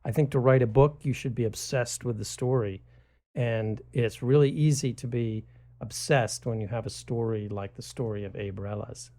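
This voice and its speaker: A man's voice: medium pitch, moderate pace, dark tone. A man from New City, an author guest telling stories in conversation with hesitant fillers. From New York City. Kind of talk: conversational storytelling.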